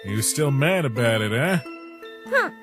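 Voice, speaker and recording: A man voicing a weary, guilt-ridden skeleton, a protective older brother, in an emotional comic dub with halting, sighing delivery. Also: medium pitch, huge pitch swings. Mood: tender, pleading. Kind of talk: dramatic comic dub acting. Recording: long reverb, music behind. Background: music.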